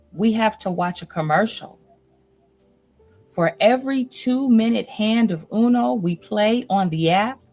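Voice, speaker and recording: A woman host reading nonfiction aloud in a deliberate, earnest, instructive manner. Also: lowish voice, darkish tone. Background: music bed.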